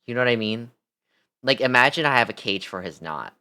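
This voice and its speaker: A man's voice: mid-range voice, animated, fairly quick, bright, forceful tone, smooth. A man livestreaming a game, chatting casually with viewers in a crude, joking, slangy way and often repeating himself. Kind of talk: casual chat with stream viewers.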